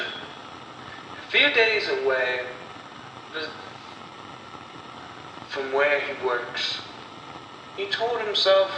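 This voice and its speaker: A man narrates a horror tale at a slow, deliberate pace, breaking his lines into dramatic fragments. His voice sits a little high for a man. It sounds roomy and echoey, as scripted storytelling recorded in a reverberant space. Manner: deliberate, dramatic, broken phrasing with pauses. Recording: roomy echo, distant mic, clear background noise.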